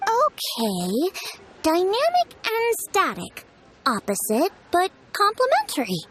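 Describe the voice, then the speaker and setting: A woman's voice: very high-pitched, huge pitch swings, slow, fairly bright tone, a little hiss or hum, exaggerated voice. A woman voicing an eager, friendly young girl cook in a video game, upbeat and inquisitive. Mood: pondering. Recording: music behind the voice.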